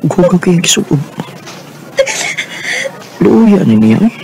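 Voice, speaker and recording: An actor playing a casual, joking office buddy in a radio drama, with a Filipino accent. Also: male voice, high voice, sing-song, slow pace, gravelly, a little mellow, exaggerated voice. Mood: casual, concerned. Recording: noisy, with ambience behind.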